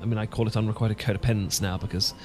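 A man's voice: fairly low pitch, fairly even intonation, very fast, noisy background, natural voice. Man playing a stammering, bashful British professor. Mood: wistful. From Britain. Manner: stammering, hesitant, full of false starts. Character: awkward, bashful, sentimental British English professor, no longer young. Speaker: audio roleplay performer. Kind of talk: ASMR romantic roleplay acting.

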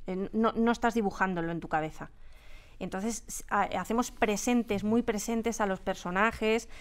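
Female, Spain accent, medium pitch, creative team member explaining her process in a casual, emphatic way. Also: moderate pace. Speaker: audio drama creator.

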